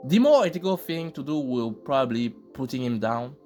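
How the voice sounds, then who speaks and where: Lively male commentator, slangy. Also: mid-range voice, normal speed. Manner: informal, joking, slangy. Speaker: host of reaction commentary videos. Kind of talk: casual scripted commentary with slang. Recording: loud music behind the voice. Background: loud music.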